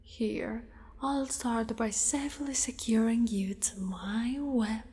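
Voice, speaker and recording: Woman doing a possessive spider monster girl for asmr, really slow n whispery, long cavern-like reverb. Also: on the high side. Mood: possessive, soothing. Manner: slow, hushed, often slipping into whispers, formal wording. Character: possessive, doting, predatory female spider monster.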